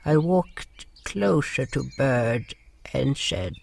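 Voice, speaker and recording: A man playing a gentle, sorrowful creature who narrates an audio drama in a deliberate, solemn way. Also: mid-range voice, lively intonation, vocal fry, slight background noise, heavily acted. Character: gentle, sorrowful non-human narrator River, caring companion.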